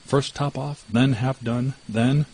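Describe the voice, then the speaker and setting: A man as a high, earnest little she-mouse. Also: fairly even intonation, slow, a little mellow, slightly rough. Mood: dismayed. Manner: exclaiming, wondering. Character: small trusting female mouse, earnest and easily astonished. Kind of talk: storybook character voice.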